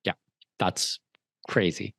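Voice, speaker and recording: A man's voice: slow pace, a bit bright, no room sound. A man hosting a podcast, interviewing in a casual, conversational way on a close mic over a video call. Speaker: podcast host. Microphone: close mic on a remote video call.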